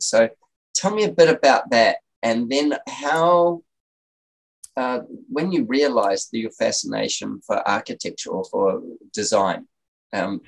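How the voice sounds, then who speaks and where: A man's voice: medium pitch, creaky, rough recording. A man hosting a design interview podcast, chatty and rambling, restarting his questions with lots of ums. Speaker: podcast host. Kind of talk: relaxed interview chat.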